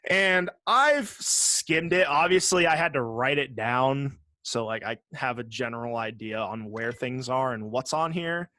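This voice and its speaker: A man chats casually as a podcast co-host in a slightly halting, joking way. His voice sits at a middling pitch, and the recording is dry with no room sound. He is sarcastic and loose with swearing. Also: bright, forceful tone, dry recording. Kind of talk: casual gaming podcast chat.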